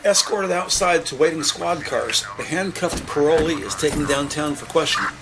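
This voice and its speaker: A man reading scripted, deliberate and detailed narration for an audio-described police drama. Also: on the high side, heavy background noise.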